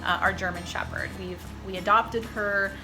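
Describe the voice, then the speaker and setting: A woman's voice: mid-range voice, steady tone, moderate pace, over music, rough recording. A young woman from Texas, chatting upbeat in a casual vlog introduction. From Texas, USA. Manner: upbeat and chatty, with light laughs. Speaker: vlogger, military spouse.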